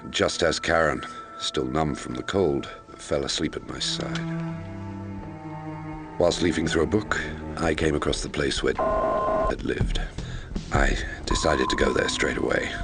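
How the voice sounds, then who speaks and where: An actor playing a sombre investigator who recounts a murder case in a measured, grave, gravelly storytelling voice for a mystery game. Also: male voice, rumbling low, exaggerated voice. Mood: brooding. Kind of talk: scripted noir-like narration. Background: loud background music.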